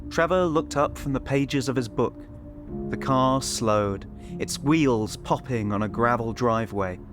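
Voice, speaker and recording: Male narrator for scripted fiction, medium pitch, with a musical bed underneath. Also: unhurried, over music. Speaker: story narrator.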